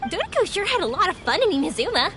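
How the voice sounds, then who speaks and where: A woman's voice: very high voice, big pitch swings, fast, background noise. A woman voicing a bubbly, kind big-sisterly young woman in a video game, chirpy and encouraging. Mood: upbeat. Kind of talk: animated game voice acting.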